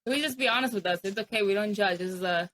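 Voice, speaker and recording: A woman's voice: mid-range voice, quick pace, fairly bright tone, a little hiss or hum. A woman co-hosting a podcast in casual chat, teasing the others and laughing along. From Philadelphia. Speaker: podcast co-host.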